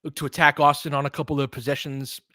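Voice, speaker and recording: A man's voice: on the high side, level tone, fast talker, bright, forceful tone, no room sound. Man calling basketball, close dry sound. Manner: conversational, thinking aloud. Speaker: host, fan play-by-play commentator. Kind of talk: casual live basketball commentary and analysis.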